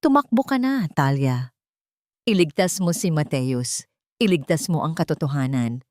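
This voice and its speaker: A woman with a Filipino accent voicing a fearful, loyal elderly cook who speaks in a hushed, urgent way. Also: low-pitched, animated, moderate pace, dry recording, clean studio sound. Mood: urgent farewell.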